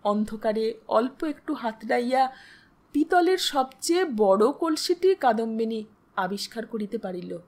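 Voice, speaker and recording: High-voiced woman narrating a story. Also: expressive, unhurried, a little mellow. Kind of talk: dramatic literary story narration.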